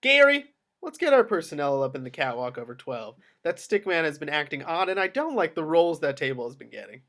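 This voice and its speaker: A man's voice: on the high side, very animated, brisk, a bit bright. A man playing a defensive, evasive casino security supervisor in an amateur script read. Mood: brisk.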